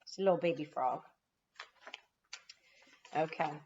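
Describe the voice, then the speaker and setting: A woman's voice: deep voice, measured pace, a little mellow. A woman kindergarten teacher reading a storybook aloud, with explanatory asides to young children, on slightly lo-fi audio.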